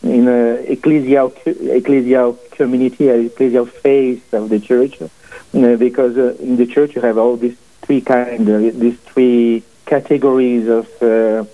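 A man's voice: medium pitch, level tone. Portuguese-accented man on a phone line. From family from Portugal. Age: 40s. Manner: halting, many fillers and restarts. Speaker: priest guest and author. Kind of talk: radio interview answers. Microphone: phone call into studio.

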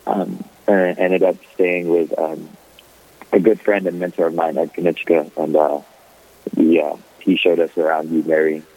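A young man from the Philippines, a guest on a radio show, talking about himself in a relaxed way with many ums. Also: deep voice, normal speed. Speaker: guest, diocesan youth associate. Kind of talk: phone-in interview. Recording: narrow phone line.

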